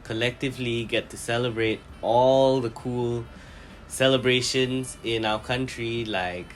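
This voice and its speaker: A man answers interview questions in a relaxed, casual way, listing things with many "likes". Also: medium pitch, a little slow, background noise.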